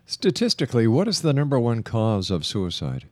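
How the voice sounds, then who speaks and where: A man hosting a radio interview, putting questions in a deliberate, halting, emphatic way. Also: medium pitch, wildly swooping pitch, darkish tone, no echo.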